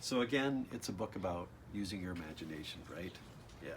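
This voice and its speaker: A man's voice: sits low, lively intonation, fairly quick, slight background noise. A man reading a children's picture book aloud expressively, with casual asides addressed straight to young listeners. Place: outdoors.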